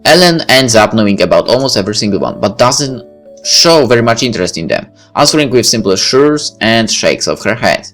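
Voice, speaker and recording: A man's voice: fairly low pitch, very animated, brisk, fairly bright tone, faint music behind. A man reading a visual novel aloud as a let's play narrator, with casual asides.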